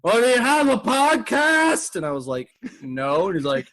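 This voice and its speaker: A man's voice: high voice, huge pitch swings, normal speed, fairly bright tone. A man hosting a comedy podcast, chatting casually and acting out his stories with exaggerated voices.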